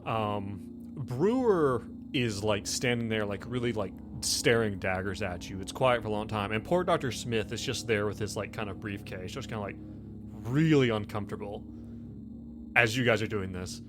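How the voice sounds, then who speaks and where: A man improvising tabletop game narration as game master, conversational and halting, often repeating himself. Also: lowish voice, lively intonation.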